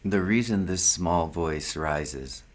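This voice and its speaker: Very slow, deep-voiced male spiritual teacher. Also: animated. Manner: measured, deliberate, short phrases with long pauses. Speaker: spiritual teacher leading satsang. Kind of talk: slow meditative spiritual talk.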